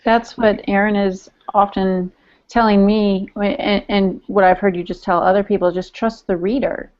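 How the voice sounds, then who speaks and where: A woman's voice: medium pitch, steady tone, normal speed, darkish tone. A middle-aged woman chatting casually as a podcast co-host. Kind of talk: casual chat. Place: indoor room at home. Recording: dull, lo-fi video call audio.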